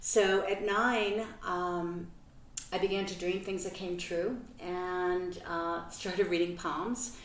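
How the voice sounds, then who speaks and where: An older woman from Belgium talks through her life story in an interview at home. She is earnest and reflective and pauses to find her words. Her voice sits low for a woman and sounds roomy, caught by a mic well away from her. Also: flat delivery, far from the mic, slight background noise. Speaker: interview guest.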